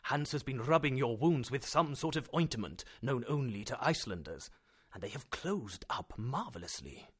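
A man voicing an affectionate older professor uncle in a storybook, with emphatic, deliberate, drawn-out phrasing. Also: mid-range voice, sing-song, slightly rough. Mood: cheerful.

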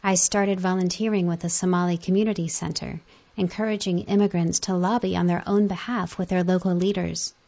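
A woman's voice: lowish voice, steady tone, a little fast, creaky, a little mellow. A woman reading magazine articles aloud, moving through the text and quotations as a reader. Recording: dull, muffled lo-fi audio.

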